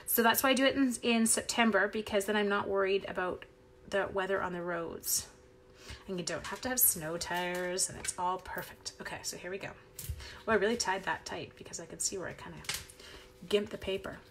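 A woman's voice: medium pitch, fairly even intonation, a little fast, a little hiss or hum. A middle-aged woman from Canada, chatty and upbeat, leading a live card-making tutorial at her craft desk while she talks with viewers.

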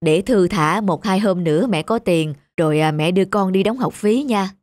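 A woman voicing a weary, gentle middle-aged widowed mother in a cartoon, speaking in a tender, reassuring way. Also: mid-range voice, moderate pace, no room sound, lightly acted. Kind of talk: animated moral-tale dubbing.

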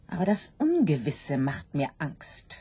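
Anxious sow for radio play: brooding, very mellow and dark, husky edge. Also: female voice, low voice, sing-song, measured pace, exaggerated voice. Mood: anxious, doubting. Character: anxious, doubting sow pondering her fate. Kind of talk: literary radio drama acting.

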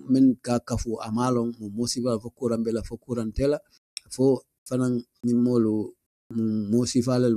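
A man's voice: medium pitch, dark tone, dry recording. An older man from The Gambia presenting the daily news at a studio desk, reading newspaper stories aloud and slipping English phrases into his talk. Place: small studio desk indoors. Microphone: studio mic on a boom arm, close.

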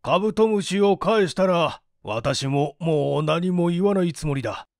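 A man voicing a polite, earnest gentleman in a story reading, deliberate and expressive. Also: a little high, dry recording, studio quality. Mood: stunned, lenient.

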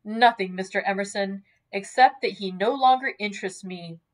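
A woman reading a novel as a stern, cold man with a sharp, clipped, disdainful way of speaking. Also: mid-range voice, normal speed, close to an everyday voice. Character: stern, cold, contemptuous man of quiet authority.

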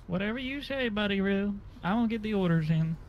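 A man's voice: sits high, lively intonation, brisk, lo-fi sound, a little hiss or hum. A man playing a dim, childlike deputy, hesitant and eager to please, stuttering through a comedy game roleplay. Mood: naive, timid.

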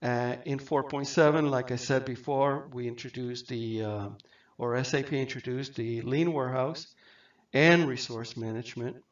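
A man giving a software training lecture over slides, halting often with fillers and restarts. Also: medium pitch, fairly even intonation, recorded from a distance. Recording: dull lo-fi mic, set back.

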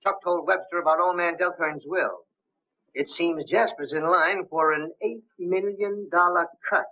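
An actor playing a gangster's henchman in a 1930s film, with a husky voice. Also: fairly high pitch, steady tone, unhurried, narrow, tinny audio. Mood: matter-of-fact. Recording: thin, band-limited 1930s film soundtrack.

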